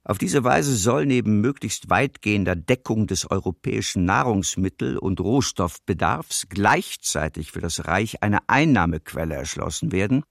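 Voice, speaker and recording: An actor playing a cold Nazi war bureaucrat, reading official policy formally in a documentary radio play. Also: male voice, lowish voice, lively intonation, moderate pace, gravelly, few pauses, dry recording, studio quality.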